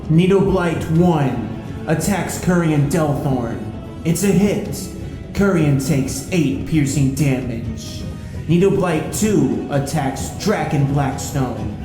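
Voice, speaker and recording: A man acting as game master, giving scripted narration of a fantasy adventure. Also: on the high side. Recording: roomy echo, music behind. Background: music.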